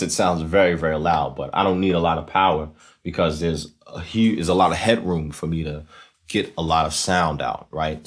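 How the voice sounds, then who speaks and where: Very deep-voiced man hosting a casual tutorial. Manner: conversational, often checking in with "right?". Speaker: tutorial host. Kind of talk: casual instructional demonstration.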